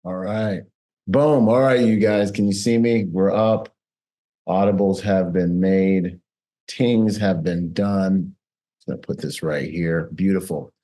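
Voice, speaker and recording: A man in his late thirties giving an energetic, conversational presentation on a video call as host. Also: low voice, slow pace. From Bahamas, grew up in Washington State. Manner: energetic, conversational, self-promoting.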